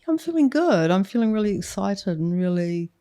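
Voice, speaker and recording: A woman's voice: medium pitch, big pitch swings, moderate pace, warm tone, dry recording, studio quality. A middle-aged woman with a New Zealand accent, speaking as a podcast guest in a candid, reflective way. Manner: reflective, earnest, laughs easily. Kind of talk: candid conversational interview. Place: studio with a couch.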